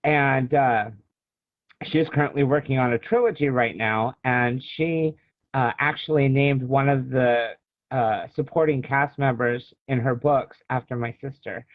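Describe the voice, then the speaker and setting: A man's voice: medium pitch, measured pace, no echo. American man on a thin, phone-like line. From Illinois, United States. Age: early 40s. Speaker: author being interviewed. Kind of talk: casual conversational interview. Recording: thin, phone-like video call sound.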